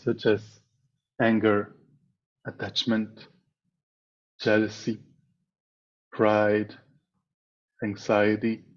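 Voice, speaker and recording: A man leading a guided meditation as a teacher, speaking in a measured way with pauses between short phrases over a slightly dull video call. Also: on the low side, completely flat delivery, slight background noise. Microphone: video call microphone.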